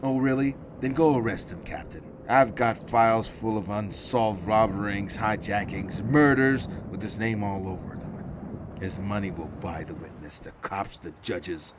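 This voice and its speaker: A man's voice: fairly low pitch, a little slow, background noise, close to an everyday voice. A man playing a cynical, impassioned district attorney in an audio drama, sardonic and pressing. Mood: bitter, sardonic.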